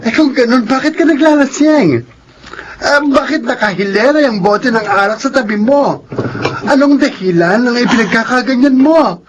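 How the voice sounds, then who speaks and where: A Filipino man playing a gentle, heartbroken male makeup artist in a radio drama. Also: very high-pitched, moderate pace, slight background noise. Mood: exasperated concern.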